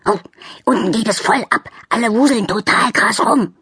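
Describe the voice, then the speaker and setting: Woman as a husky, yappy little dog. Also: fairly high pitch, moderate pace, a bit bright, no echo, clean studio sound, pitched above the performer's own voice, heavily acted. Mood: excited, cheerful. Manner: slangy, chirpy, yappy. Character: cheerful, excitable little male dog, youthful and slangy. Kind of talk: children's audiobook character voice.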